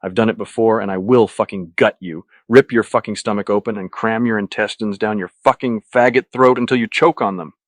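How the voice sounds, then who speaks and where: A man's voice: on the low side, lively intonation, fairly quick, a bit bright, no room sound. A man voicing a vain, exasperated young man with a tense, controlled manner that tips into hissed, snarled menace. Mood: snarling menace.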